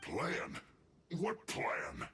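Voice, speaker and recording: A man's voice: fairly low pitch, huge pitch swings, extremely slow, pushed and bright, slight background noise, full character voice. A man playing a beaten, defensive henchman in a video game, protesting as he speaks. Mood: defensive, reluctant. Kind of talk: video game cutscene acting.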